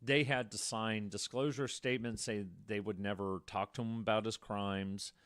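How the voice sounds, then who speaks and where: A man's voice: on the low side, steady tone, normal speed, fairly bright tone, clean tone, dry recording, clean studio sound. A man co-hosting a podcast, telling true-crime stories casually in a wordy way full of asides. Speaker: podcast co-host.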